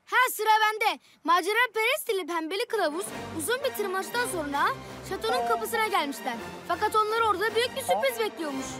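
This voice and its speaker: A woman's voice: very high-pitched, expressive, brisk, music in the background. A woman voicing a bossy, stubborn little cartoon character with an imperious, self-satisfied manner. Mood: smug. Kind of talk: children's cartoon dubbing.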